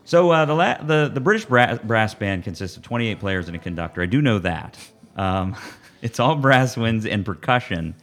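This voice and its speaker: A man's voice: on the low side, expressive, moderate pace, a bit bright. A man hosting a radio program and interviewing guests, easygoing, chuckling now and then and stumbling over words.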